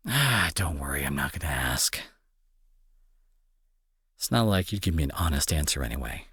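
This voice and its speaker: A husky young guy acted out by a man plays the gruff bully who cares more than he admits. His pitch swings widely as he scolds the listener with exasperated sarcasm, in a close, dry audio roleplay. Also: very animated, moderate pace, a bit bright, no echo, full character voice. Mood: teasing, needling. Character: gruff young male bully, secretly worried and protective. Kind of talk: intimate audio roleplay acting, addressed to listener.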